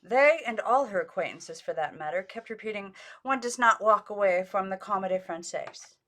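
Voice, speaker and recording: A woman's voice: medium pitch, fairly bright tone. A woman reading a biography aloud as an amateur narrator, in an unpolished way that stumbles over foreign names. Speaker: audiobook reader.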